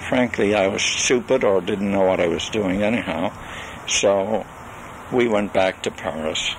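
Slow, gravelly older man. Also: lowish voice. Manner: deliberate, halting reminiscence with long pauses. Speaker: storyteller recalling his life and legal practice. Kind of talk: spoken memoir on tape. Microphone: cassette recorder. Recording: noisy 1980s home cassette recording.

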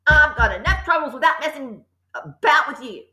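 A woman's voice: sits high, lively intonation, brisk, pushed and bright, reverberant, faint music behind. Woman putting on a curt, snapping, bullying father. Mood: angry, snapping. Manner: curt, snapping, barking orders. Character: gruff, impatient, bullying father.

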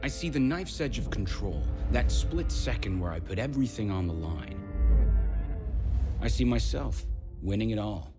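A cocky young male street racer, played by an actor. He sounds defiant, as in a cinematic racing game, with loud music behind him. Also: on the low side, expressive, moderate pace, natural voice. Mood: confident. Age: young adult. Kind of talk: cinematic video game acting.

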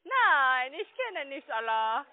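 A woman calling as a phone scammer, talking in a blunt, halting way on a phone line. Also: high voice, very animated. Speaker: phone scam caller. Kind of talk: phone call.

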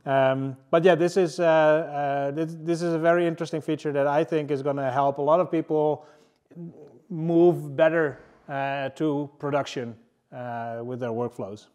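Casting: presenter in his thirties, slightly raised voice, halting delivery full of fillers, audience room. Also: fairly high pitch, fairly even intonation, darkish tone. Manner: halting, many fillers and restarts. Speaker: engineering manager presenting. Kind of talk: casual tech meetup talk. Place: large room with a seated audience, indoors.

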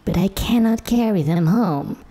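A man voicing a shabby, spiteful old witch woman who grumbles sharply in a high, put-on voice. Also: normal speed, mellow. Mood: commanding.

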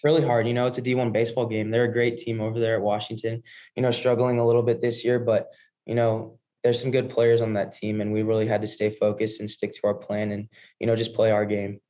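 A man's voice: lowish voice, monotone, quick pace, warm tone. A young American man in his early twenties, a college ballplayer answering post-game questions on a video call. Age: early 20s. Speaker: college baseball player. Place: indoor press room before a backdrop. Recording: dull, lo-fi video call audio.

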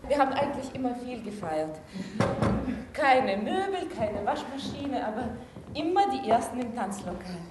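A woman's voice: on the high side, sing-song, moderate pace. In a noisy, very echoey theatre, a young Turkish woman migrant. She is curious and fun-loving, addressing the house in monologue. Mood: fond reminiscing. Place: theatre stage with audience. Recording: very echoey theatre, clear noise.

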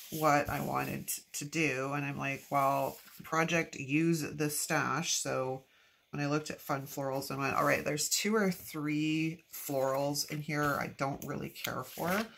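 A woman's voice: sits low, vocal fry, a bit bright. A woman with a stuffy, congested nose from allergies, chatting casually to herself and her viewers while she decorates a planner at a craft table. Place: at a craft table, filmed from overhead. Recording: noticeable background noise.

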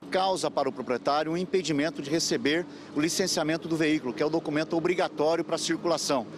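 Official outdoors with traffic. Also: male voice, a little high. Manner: formal, halting, with restarts. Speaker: tax official. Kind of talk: street interview. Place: roadside outdoors. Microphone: handheld reporter mic. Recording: street noise, passing cars.

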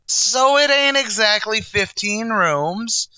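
A brash, boastful New tavern manager in a 1940s radio comedy. His voice sits high and swings up and down constantly, bright and sharp-edged. He rattles off streetwise wisecracks in a dry, close sound. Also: expressive, slow pace, clean tone, dry recording, heavily acted, poor audio. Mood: excited.

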